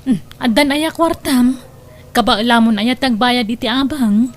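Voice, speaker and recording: A woman's voice: a little high, a little slow, lightly acted. A woman playing a kindly, practical restaurant boss in a radio drama, reassuring and questioning. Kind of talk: Ilocano radio drama acting.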